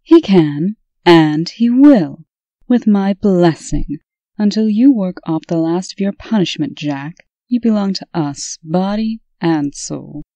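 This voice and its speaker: Strict commanding woman acted, low mellow voice, no-nonsense, dry recording. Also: expressive, slow, dry recording. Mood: stern. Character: strict, commanding, no-nonsense female official, older sister. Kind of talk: comic dub voice acting.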